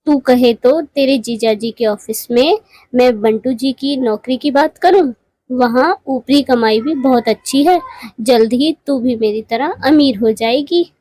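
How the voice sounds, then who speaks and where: Cartoon elder-sister bird played by a woman: female, high voice, showy, snobbish, measured delivery. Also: dark tone, no room sound. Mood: condescending, boastful. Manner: condescending, showy. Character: haughty rich married female bird, boastful elder sister. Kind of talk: Hindi moral cartoon voice acting.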